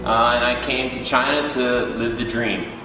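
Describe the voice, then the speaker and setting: A man's voice: medium pitch, fairly even intonation, moderate pace. Young adult male, American accent, casual interview talk, distant mic, heavy echo. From New York, United States. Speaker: English teacher. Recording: very echoey, distant, loud music behind. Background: loud background music.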